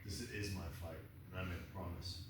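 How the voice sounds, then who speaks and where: A man's voice: low voice, steady tone, brisk, recorded from a distance, natural voice. A man playing a stoic, wry war robot who was once human, dry and laconic, in a screenplay table read. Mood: grave, resolute. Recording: roomy echo, off-mic, noisy background.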